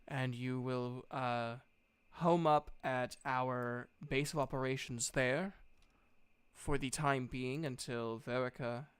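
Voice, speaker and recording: Casting: man as formal, measured veteran officer woman for tabletop fantasy, smooth and clean. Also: mid-range voice, steady tone, a bit bright, dry recording. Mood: formal, composed. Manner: measured, polite, official phrasing. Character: Willow, formal composed female veteran officer.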